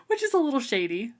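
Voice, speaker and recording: Giggly rambling woman podcaster. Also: a little high. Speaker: podcast co-host. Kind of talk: casual book club podcast chat.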